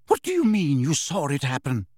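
A man's voice: on the high side, huge pitch swings, a little mellow, no echo, clean studio sound. A man reading an audiobook, voicing a stern older woman teacher who speaks curtly. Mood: questioning. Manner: curt, brisk.

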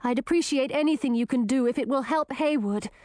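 A woman's voice: high voice, fairly quick, darkish tone, dry recording, studio quality, pitched above the performer's own voice, natural voice. A woman voicing an earnest young heroine who talks in an eager, anxious and pleading way.

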